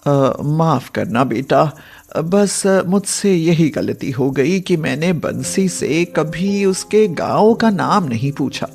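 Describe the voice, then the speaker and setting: A woman's voice: deep voice, animated, slow pace, creaky, a little mellow, breathy edge, faint music behind, full character voice. A woman voicing a concerned, plain-spoken motherly older woman in a moral story. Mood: regretful. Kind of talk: acted Hindi moral cartoon story.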